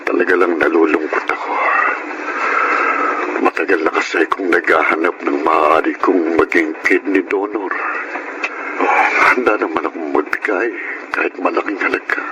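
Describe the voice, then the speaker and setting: A man's voice: low-pitched, fairly even intonation, moderate pace, lightly acted. A man acting a sick, wealthy, heavy-hearted family man and boss in a Filipino radio drama. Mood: sad, weary. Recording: noisy radio-drama broadcast.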